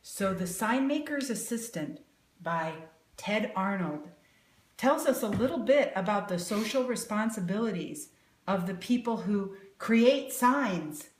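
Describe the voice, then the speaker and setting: A woman's voice: medium pitch, lively intonation, measured pace, roomy sound. A middle-aged woman reading a picture book aloud to children, exclaiming and asking them questions as she goes. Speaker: storybook reader and educator.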